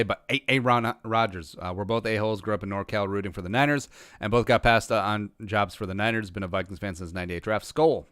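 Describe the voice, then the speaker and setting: Man, rural Minnesota origin, lowish voice, dry recording, livestream host, rambling casual Q&A. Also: fairly bright tone, clear, resonant tone, dry recording. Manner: rambling, restarts often, dry self-aware humor. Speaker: podcast host answering live chat.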